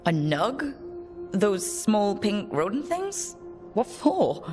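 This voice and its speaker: A woman's voice: mid-range voice, big pitch swings, slow pace, music in the background. A woman playing a curious, wry adventurer and leader in a fantasy video game, with a dry conversational manner. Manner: dry, inquisitive.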